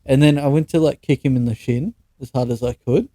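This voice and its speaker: A man's voice: medium pitch, fairly quick, dark tone, no echo. A young Australian man co-hosting a podcast, telling stories in a casual, sweary and blunt way. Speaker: podcast co-host. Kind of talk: casual chat, anecdotes with mates.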